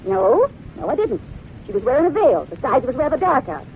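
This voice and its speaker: High-pitched, nosy small-town wife. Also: female voice, big pitch swings, a little fast. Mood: gossipy, emphatic. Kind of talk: old-time radio drama acting. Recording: dull old radio recording, faint noise.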